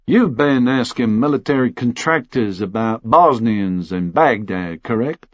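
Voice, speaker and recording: Man voicing a menacing, measured Texan official. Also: mid-range voice, expressive, no room sound, highs cut off, close to an everyday voice. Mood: cold, condescending. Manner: measured, condescending, threatening. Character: menacing, controlled Texan official, cold and formal.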